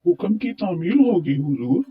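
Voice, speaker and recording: A man's voice: sits high, sing-song, moderate pace, a little hiss or hum. A man playing a deferential royal minister in a cartoon story, speaking in a courtly, formal way. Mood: dutiful. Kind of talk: Urdu moral cartoon story dialogue. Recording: tinny phone-like sound, music bed.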